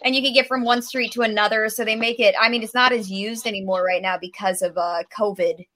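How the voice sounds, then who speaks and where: A woman's voice: fairly high pitch, fairly even intonation, brisk, sharp tone. A woman chatting on a video call, explaining things at length with plenty of fillers. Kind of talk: casual chat on a video call.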